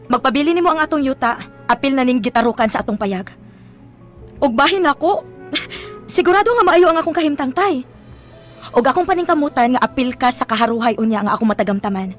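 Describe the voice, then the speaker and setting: Actress as a high-voiced, determined young Filipina daughter. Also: female voice, lightly acted. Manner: resolute, earnest, a little sassy. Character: determined, self-sacrificing young daughter, poor but feisty. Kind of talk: radio drama acting. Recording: music bed behind.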